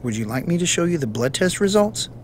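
An actor playing a grave, hesitant doctor who gently breaks bad news in a visual novel game. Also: male voice, on the high side, sing-song, fast, slight background noise. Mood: apologetic, firm.

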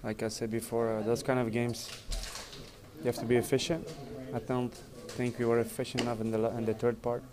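Man in his thirties, team captain, post-match scrum; flat, level delivery, stumbles and repeats, crowd chatter behind. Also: medium pitch. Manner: even-toned, halting, repeats words. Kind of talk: post-match press interview. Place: indoor press area in front of a sponsor backdrop. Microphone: reporters' handheld mics and phones held close. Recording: noisy crowded press area.

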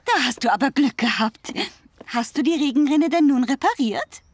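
A woman's voice: sits high, normal speed, music in the background, natural voice. A woman voicing a caring, fussing townswoman in a children's cartoon dub.

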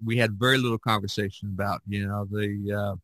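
A middle-aged man chatting casually as a podcast host, rambling and halting as he searches for words. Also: lowish voice, little pitch movement, a bit bright, dry recording, low-quality recording. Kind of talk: casual chat.

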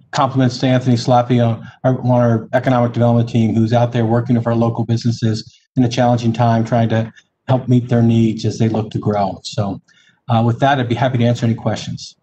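A middle-aged man, a city development director, giving formal remarks at a council meeting from his office over a video call. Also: mid-range voice. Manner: steady, formal statement with light fillers. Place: office, indoors. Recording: slightly dull video-call audio with faint noise.

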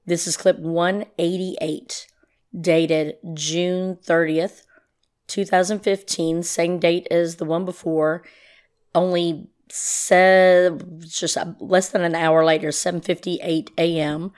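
A woman's voice: on the low side, flat delivery, takes it slowly, darkish tone, smooth. A woman host giving informal commentary, speaking to listeners about audio clips she introduces.

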